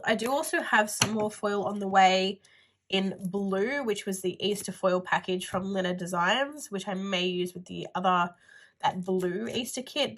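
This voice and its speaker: A woman's voice: mid-range voice, fairly bright tone, a little hiss or hum. A woman with a stuffy nose and a nasal voice, chatting casually through a planner haul video while she handles her items. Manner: chatty, rambling with "like" fillers. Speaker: planner vlogger.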